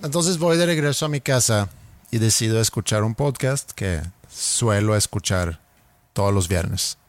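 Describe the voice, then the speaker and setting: A man's voice: fairly low pitch, animated, unhurried. Man with a Swedish background, casual chat.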